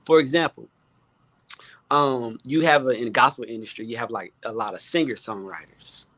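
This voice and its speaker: A man speaking as a guest producer and songwriter in a casual panel chat, halting and repeating words as he thinks, often adding "you know". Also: mid-range voice, a bit bright, no echo.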